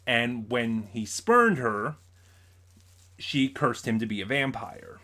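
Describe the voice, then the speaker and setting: A man with a mid-pitched voice talks casually as a vlogger reviewing his purchases. He rambles through explanations and corrects his own wording as he goes. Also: slow pace, pushed and bright. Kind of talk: casual unboxing chat.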